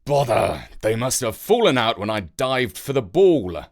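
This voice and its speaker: A mild, put-upon middle-aged dad from a children's storybook, read by a narrator. The pitch is medium with wide swings. He talks in a measured, slightly grumbling way. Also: very animated. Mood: annoyed.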